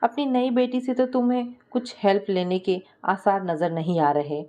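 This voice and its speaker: A good-humoured middle-aged husband as a woman narrator plays him in a family story. He teases playfully in a soft, mellow tone. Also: medium pitch, fairly even intonation, normal speed, highs cut off, lightly acted. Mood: wry advice. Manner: playful, teasing.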